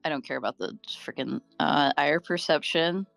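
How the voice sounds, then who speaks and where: A woman's voice: low-pitched, moderate pace, creaky, fairly bright tone, no echo, soft background music. A woman chatting casually and playfully while gaming, with plenty of swearing. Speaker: gamer friend pulling alongside.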